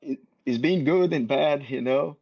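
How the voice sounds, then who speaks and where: A man's voice: fairly high pitch, unhurried, slightly rough. A man from Brazil, a small business owner introducing himself on a video call, hesitant and full of fillers. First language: Portuguese. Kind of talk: casual video call chat. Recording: dull lo-fi video call audio.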